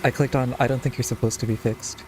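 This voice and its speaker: A man's voice: medium pitch, steady tone, fast talker, over music. A man giving casual, wry playthrough commentary as a game streamer, with understated reactions.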